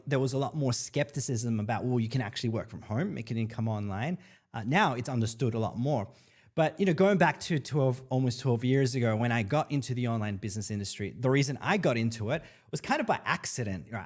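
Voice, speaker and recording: A man in his thirties from El Salvador hosting a casual live Q&A stream, chatty and upbeat, often pausing on fillers as he reads viewer comments aloud. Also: medium pitch. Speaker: livestream host.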